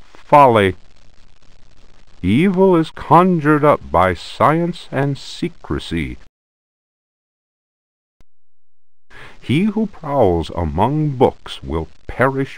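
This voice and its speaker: An actor playing a grave reader of an accursed tome in a video game, solemn, ominous and theatrical. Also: male voice, mid-range voice, dry recording, poor audio. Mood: grave, dramatic. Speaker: narrator. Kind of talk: theatrical reading of an ancient occult book.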